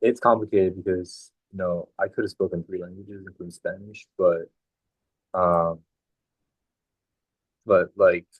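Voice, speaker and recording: Deep, husky man rambling on a video call. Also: steady tone, unhurried, long pauses, dry recording, dull audio. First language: Irish. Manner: rambling, hesitant, with many restarts. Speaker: student. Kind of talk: casual class discussion.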